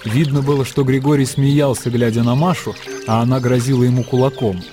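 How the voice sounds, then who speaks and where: A man narrating a literary radio drama in a measured storytelling manner. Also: mid-range voice, fairly even intonation. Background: music.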